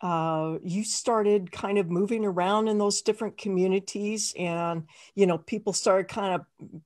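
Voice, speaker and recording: A woman's voice: mid-range voice, fairly even intonation, unhurried, darkish tone. A woman hosting an interview over a video call, encouraging her guest with short affirmations. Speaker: host, interviewer. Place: home office.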